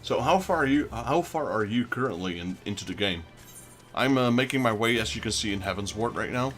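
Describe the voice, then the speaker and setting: A man's voice: medium pitch, animated, brisk, fairly bright tone, faint music behind. A man with a Dutch accent, a native Dutch speaker, giving casual let's play commentary and chatting with his viewers. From Netherlands. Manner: chatty, talks back to viewer chat.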